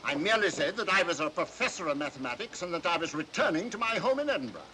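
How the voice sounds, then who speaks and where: A man's voice: fairly high pitch, big pitch swings, fast, sharp tone. An actor playing an irascible male professor, prickly and indignant, in old mystery film style. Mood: irritated. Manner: snappish, indignant. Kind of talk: 1940s mystery film acting. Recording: noisy vintage film soundtrack.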